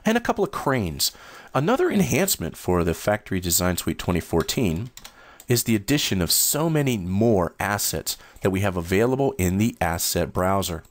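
A man walking through new software features in a steady, explanatory screen-recorded demo. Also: low-pitched, normal speed. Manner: steady, explanatory, first-person demo narration. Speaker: software demonstrator.

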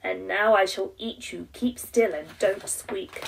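A woman's voice: mid-range voice, slow, gravelly, recorded from a distance, slight background noise, low-quality recording. A woman voicing a monstrous, menacing man-eating cake in a children's storybook, gurgling and drawing out the words. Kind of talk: children's storybook read-aloud.

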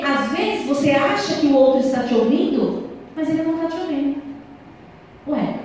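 A woman's voice: high-pitched, a little mellow, far from the mic, slight background noise. Earnest woman preaching in echoey hall. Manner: earnest, preacherly, standing with handheld mic. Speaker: couples' speaker. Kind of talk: church marriage talk. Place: small church hall. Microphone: handheld mic, far camera.

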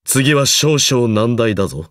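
A man's voice: medium pitch, expressive, measured pace, fairly bright tone, no room sound. Game role: stern male magecraft lecturer, formal, condescending, grudgingly encouraging, very varied intonation, dry close studio sound. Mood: measured approval. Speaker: voice actor in a mobile game. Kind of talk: scripted game character lines.

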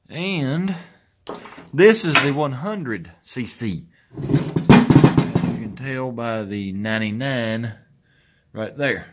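A man's voice: mid-range voice, very noisy. A middle-aged man in a large garage workshop, talking in an easygoing, wry way as he works on an old motorcycle and chats to the camera. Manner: easygoing, wry, talks to himself while working. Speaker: garage channel host. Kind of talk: casual repair commentary.

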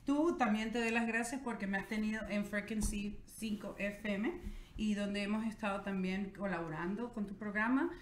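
Host: middle-aged woman, mid-pitched, formal hosting tone, roomy echo. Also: moderate pace, a little hiss or hum. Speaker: talk show host. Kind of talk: interview hosting. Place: small TV studio with backdrop. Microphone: studio mic on stand.

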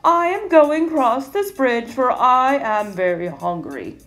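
A woman voicing a giant, stern male billy goat in a storybook, speaking in a firm, rumbly way. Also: animated, unhurried, a bit bright, soft background music. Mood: defiant.

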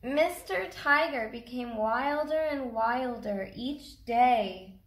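A woman's voice: a little high, slow pace, reverberant. A woman in her thirties reading a picture book aloud to young children, expressively, with simple questions for the listeners. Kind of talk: children's read-aloud storytelling. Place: small cardboard fort indoors.

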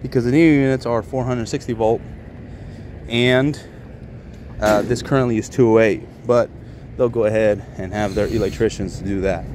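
A man's voice: on the low side, steady tone, normal speed, darkish tone. Plain-spoken man on a noisy rooftop. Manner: plain-spoken, informal, explanatory. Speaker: HVAC contractor presenting a job. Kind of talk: casual on-site walkthrough narration. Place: outdoors on a commercial rooftop. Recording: outdoor handheld recording with ambient noise.

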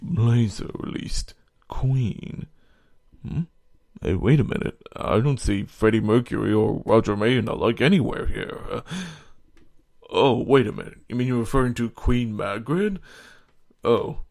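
Man as a creaky, mock-serious game narrator. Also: medium pitch, a little mellow. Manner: slow announcements, then chatty asides. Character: mock-serious game narrator who breaks into puzzled asides. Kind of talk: amateur game fandub bloopers.

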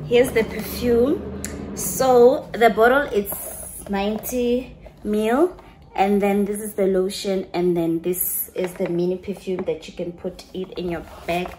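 A woman's voice: mid-range voice, steady tone, a little slow, dark tone, a little hiss or hum, rough recording. A young woman chatting to camera, gushing about her perfumes and slipping into local phrases. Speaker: vlogger. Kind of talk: casual chat to camera, showing perfumes.

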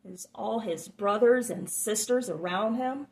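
A woman reading a children's picture book aloud with expressive, drawn-out phrasing and little asides to listeners. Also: mid-range voice, low-quality recording. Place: small room at home, indoors.

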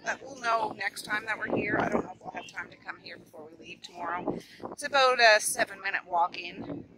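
A woman's voice: mid-range voice, wildly swooping pitch, brisk, heavy background noise. A woman in her thirties from Nova Scotia, chatting to her camera and calling out to her kids on an outdoor walk. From Nova Scotia, Canada. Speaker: vlogger and mother. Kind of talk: casual family vlog while walking. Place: outdoors on a windy beach and forest trail. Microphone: handheld camera at arm's length.

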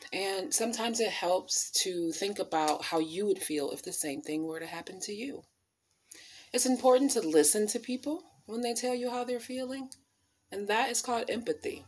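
A woman in her thirties reading aloud to children as a storyteller, with deliberate, dramatic emphasis on key words. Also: medium pitch, a little mellow, slightly rough. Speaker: storyteller reading a picture book. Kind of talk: expressive read-aloud for children. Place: small living room, indoors.

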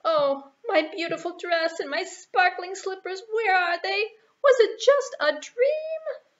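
A woman voicing a young, gentle, breathless Cinderella in a puppet show, on a dull recording. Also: very high-pitched, slow pace, reverberant, voice pushed up, exaggerated voice. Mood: plaintive, tearful.

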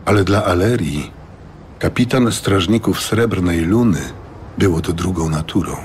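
A man narrating a scripted fantasy audiobook with measured, dramatic storytelling. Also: deep voice, a little hiss or hum. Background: music.

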